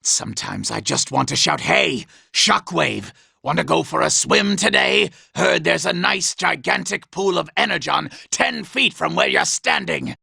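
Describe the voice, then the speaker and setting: A man voicing a vain, scheming, treacherous robot villain in a video game, raspy and gravelly, with a sneering, pompous, theatrical delivery. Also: a little high, animated, unhurried, sharp tone, no room sound, studio quality, heavily acted. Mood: exasperated. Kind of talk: video game character acting.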